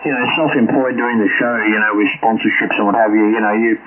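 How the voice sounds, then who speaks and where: A man's voice: on the low side, fairly even intonation, quick pace, crowd noise. Older Australian man on tinny ham radio. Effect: heard through radio receiver. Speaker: amateur radio operator and show presenter. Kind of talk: casual ham radio chat with callsigns. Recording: narrow tinny radio link, heavy crackly babble.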